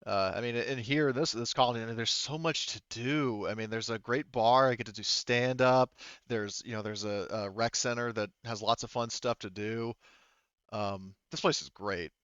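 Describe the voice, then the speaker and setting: A man's voice: medium pitch, fairly even intonation, normal speed, a bit bright, smooth, no room sound, close to an everyday voice. A man playing a chatty, upbeat female infantry soldier in a tabletop roleplay, rambling and hesitant, on slightly muffled audio. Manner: rambling, hesitant, filler-heavy.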